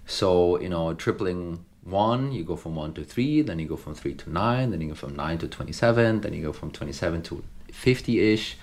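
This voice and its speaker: A man's voice: low voice, expressive, normal speed, few pauses, darkish tone. A man co-hosting a business podcast, explaining growth math step by step with fillers. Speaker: podcast co-host. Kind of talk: casual business chat. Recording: echoey clip, audio quality remarked.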